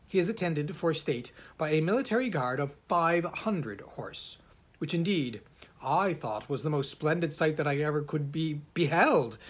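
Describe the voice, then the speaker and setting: A man narrates a classic novel in a husky, slightly rough voice. His delivery is measured and formal, suited to old-fashioned literary prose, in the manner of an amateur audiobook reader. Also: on the high side.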